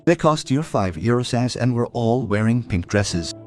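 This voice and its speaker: An actor playing a world-weary police investigator in a crime radio play, recounting a case in a reflective, deliberate manner. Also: male voice, medium pitch, lively intonation, faint music behind, lightly acted. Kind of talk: German crime radio play acting, narrated monologue.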